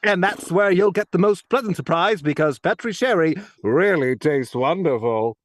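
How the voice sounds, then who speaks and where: A man's voice: fairly high pitch, lively intonation, moderate pace, dry recording, lightly acted. A man playing an earnest 1940s radio announcer with polished, emphatic salesmanship. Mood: salesmanlike. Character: smooth-selling, earnest 1940s radio announcer pitching wine. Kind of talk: 1940s radio acting, sponsor read.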